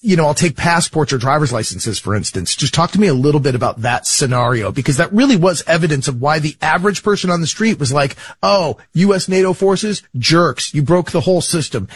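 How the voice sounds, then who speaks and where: Host: man with a husky voice, long setup questions, slows for emphasis. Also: fairly high pitch, expressive. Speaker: podcast host. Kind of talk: interview questions.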